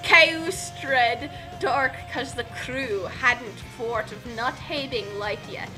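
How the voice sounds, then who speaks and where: A woman casually reading fan fiction aloud and chatting, rambling excitably and stumbling over words. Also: on the high side, slow, over music, poor audio.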